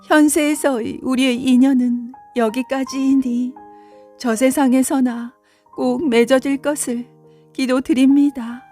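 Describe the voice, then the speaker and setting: Woman acting a high-voiced, sorrowful young maiden. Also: fairly even intonation, unhurried, dark tone, soft background music. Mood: heartbroken, resigned. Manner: tender archaic courtly speech. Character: sorrowful young maiden in love, humble and formal. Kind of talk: theatrical folktale storytelling.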